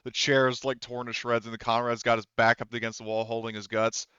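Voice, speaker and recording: A man acting as game master, narrating a tabletop game in a halting, conversational, sweary way. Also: medium pitch, little pitch movement, sharp tone, smooth, dry recording, dull audio.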